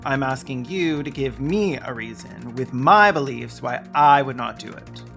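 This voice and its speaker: A video essayist narrating a script with sarcastic, deadpan comic asides. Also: medium pitch, unhurried, creaky, faint music behind. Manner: sarcastic, wry deadpan jokes.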